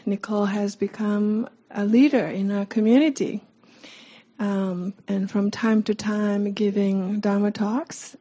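A woman hosting a meditation evening, introducing the guest teacher with many pauses and ums. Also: medium pitch, dark tone. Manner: hesitant, many ums and uhs. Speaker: host introducing the speaker. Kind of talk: welcoming introduction.

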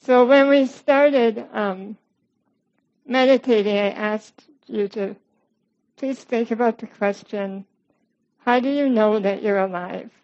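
A woman with a quiet voice, a bit worn tonight, giving an informal dharma talk to a group and laughing softly. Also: fairly high pitch, slow pace, lo-fi sound. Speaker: guest meditation teacher.

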